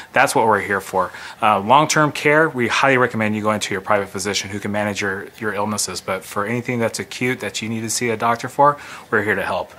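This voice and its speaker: A man's voice: lowish voice, sharp tone. An American man in his forties presenting a clinic as its nurse manager in a promotional interview. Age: 40s. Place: clinic reception area indoors.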